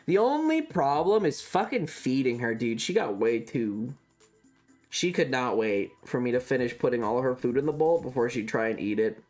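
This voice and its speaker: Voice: medium pitch, sing-song, moderate pace, a little mellow. Casual, rambling streamer, sweary, over music. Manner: rambling, repeats and emphasizes, swears freely.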